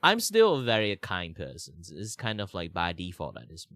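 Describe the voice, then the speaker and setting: Low-voiced man, slightly sick, rambling. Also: huge pitch swings, moderate pace, a bit bright, no echo, studio quality. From Malaysia. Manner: rambling, many fillers and restarts. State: slightly sick, rough throat. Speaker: art streamer. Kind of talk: casual stream chat.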